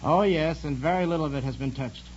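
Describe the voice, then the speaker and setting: A man's voice: a little high, fast. An American man, a priest being interviewed as a quiz contestant, answering in an earnest, polite and explanatory way. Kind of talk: answering interview questions on a broadcast. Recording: dull, noisy, humming 1950s broadcast recording.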